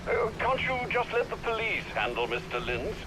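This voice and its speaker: A man's voice: high-pitched, very animated, moderate pace, background noise. A man playing a worried, proper, loyal older butler in a video game, speaking politely over a radio link. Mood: concerned, reasoning. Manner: polite, concerned. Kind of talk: video game cutscene acting.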